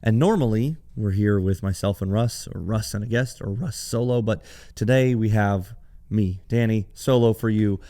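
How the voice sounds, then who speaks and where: A man hosts his podcast alone, his voice hoarse, raspy and gravelly after a night of cheering. His tone is low and mellow, and he explains things plainly in a conversational way. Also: moderate pace. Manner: conversational, explanatory, with some restarts. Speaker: podcast host. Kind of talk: solo business podcast talk.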